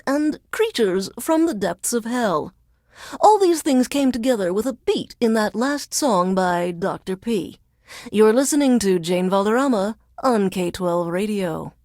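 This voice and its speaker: A woman playing a sardonic female radio DJ in a video game, introducing songs in a deadpan, measured, formal announcer's delivery. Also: on the high side, no room sound, clean studio sound. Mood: dry, composed. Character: dry, sardonic female radio DJ under alien occupation.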